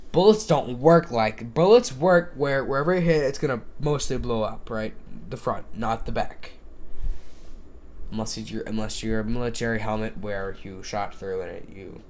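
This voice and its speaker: A man's voice: medium pitch, slight background noise. A young man hosting a casual solo podcast, sounding stuffy from a cold, rambling with many fillers and restarts into a desk microphone. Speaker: podcast host.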